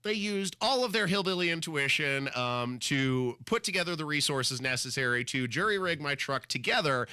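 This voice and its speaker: A man's voice: fairly high pitch, lively intonation, moderate pace, few pauses, pushed and bright, clean tone, no echo, clean studio sound. A male radio talk show host with a slight cold, telling a story in an exasperated, sarcastic way with dramatic asides. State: fighting a cold, a little scratchy. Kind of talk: casual on-air storytelling. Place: radio studio.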